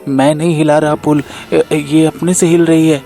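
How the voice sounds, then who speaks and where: A village man in a cartoon moral story, acted by a male performer. His pitch sits somewhat high for a man. Soft music plays underneath. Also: level tone, moderate pace, gravelly. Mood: baffled. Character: men of a village moral tale, thief and priest.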